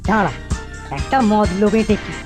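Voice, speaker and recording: A woman's voice: mid-range voice, moderate pace, music in the background, close to an everyday voice. A woman voicing a pondering, mischievous young schoolboy in a cartoon. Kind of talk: cartoon voice acting.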